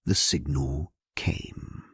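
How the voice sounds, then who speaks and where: A man giving a solemn, measured and theatrical first-person narration of a scripted dramatic sleep story. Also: very deep voice, a bit bright, breathy edge, no echo, studio quality.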